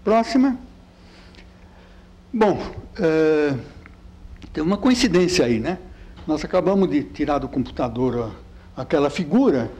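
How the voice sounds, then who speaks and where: An older man born in Italy, with a light Italian accent, giving a physics lecture with slides in a chatty, halting way full of fillers. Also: on the high side, a little mellow. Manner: halting, many fillers and repeated words; chatty, keeps asking "né?".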